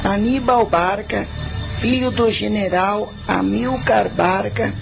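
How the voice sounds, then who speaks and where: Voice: mid-range voice, slow, creaky, low-quality recording. A performer playing an earnest ten-year-old boy, a general's son, in a radio drama, speaking solemnly. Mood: solemn vow. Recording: music under the voice.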